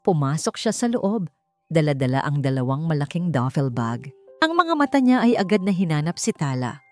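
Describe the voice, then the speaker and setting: A woman's voice: low voice, big pitch swings, normal speed, a little mellow, dry recording. A woman reading a scripted love story as a dramatic narrator. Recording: music behind the voice. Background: background music.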